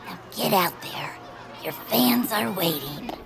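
A woman's voice: fairly low pitch, expressive, slow, gravelly, very noisy, full character voice. A woman voicing an eager young bird-like female fan in an audio drama, earnest and tangled in her own explanations. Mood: upbeat.

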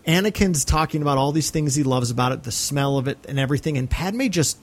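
A man with an American accent and a voice pitched a little higher than most men's. He talks as a podcast co-host in a casual film discussion, effusive yet reflective, halting and repeating phrases as he finds his point.

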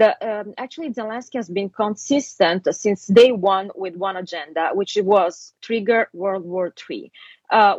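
An Italian-accented woman with a husky, slightly breathy voice lays out her views in an earnest, measured way. Her voice is close and dry, with no room around it. The sound is a little dull and lo-fi. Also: mid-range voice, dry recording. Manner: earnest, measured, laughs readily between points. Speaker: guest commentator, lawyer. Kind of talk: political interview talk.

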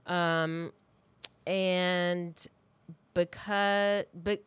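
A woman's voice: fairly low pitch, flat delivery, drawn-out words, clear, resonant tone, dry recording. A woman co-hosting a true crime podcast, telling the story informally from her notes in a conversational way.

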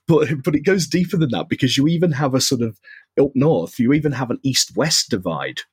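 A man's voice: mid-range voice, lively intonation, fairly quick, slightly rough, dry recording. A man with a Mancunian accent, a podcast guest chatting casually with lots of fillers, restarts and laughs. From northwest England. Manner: rambling, laughs often, many fillers and restarts. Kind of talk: casual chat.

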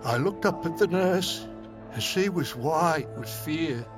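An ancient survivor in an audio drama, haunted and set on his mission. The voice is fairly high for a man, grave and theatrical, leaning hard on key words. Music plays underneath. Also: expressive, takes it slowly. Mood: harrowed, intense. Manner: grave, theatrical, emphatic storytelling. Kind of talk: dramatic audio fiction narration. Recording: music behind the voice.

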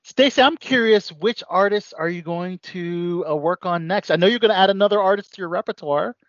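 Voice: medium pitch, fairly quick, dry recording. An interviewer asking curious follow-up questions in a casual chat. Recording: dry, dull lo-fi audio.

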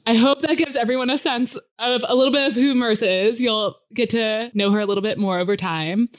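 A woman hosting a podcast, asking quick questions in a friendly, upbeat way. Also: fairly high pitch. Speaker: podcast host. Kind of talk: casual podcast interview.

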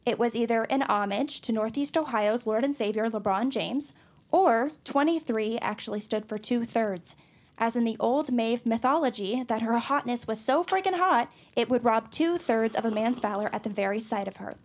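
A woman playing a nerdy, earnest, excitable young superfan in an audio drama, rambling through her explanations. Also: fairly high pitch, normal speed. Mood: eager, showing off. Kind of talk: scripted audio drama acting.

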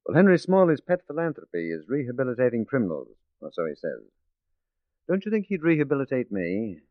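An actor playing a shrewd, determined police detective in a 1940s radio drama, speaking in a measured, persuasive way. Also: male voice, mid-range voice, huge pitch swings, dry recording. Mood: sly, confident. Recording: muffled 1940s radio recording.

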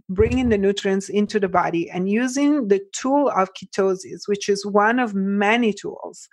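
A middle-aged woman with an Italian accent, a nutrition practitioner speaking earnestly as a guest in an interview, full of "like" and "you know" and quick restarts. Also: mid-range voice, dry recording. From Italy. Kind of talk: podcast interview.

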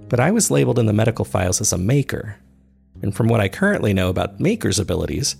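A man reading scripted first-person dramatic narration in a measured storytelling manner. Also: on the low side, gravelly, a little mellow. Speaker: narrator of a serial fiction podcast.

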